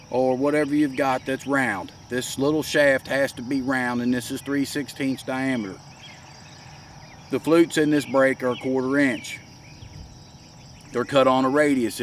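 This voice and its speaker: Hoarse congested man demonstrating in his shop. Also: medium pitch, distant mic, a little hiss or hum. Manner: folksy, plain-spoken, explains step by step. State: hoarse, congested with a head cold. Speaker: gunsmith demonstrating a product. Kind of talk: casual workshop demonstration.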